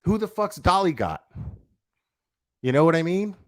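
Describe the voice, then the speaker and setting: A man's voice: fairly high pitch, big pitch swings, normal speed, dry recording, studio quality. A man delivering a livestreamed opinion rant in a blunt, profane, confrontational way, repeating himself for emphasis and asking rhetorical questions. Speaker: online commentator, livestreamer.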